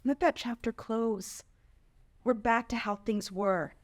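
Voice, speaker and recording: A woman's voice: a little high, slow. A woman playing a serene, sympathetic queen mother in a fantasy script. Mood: calm. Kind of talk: table read of fantasy screenplay.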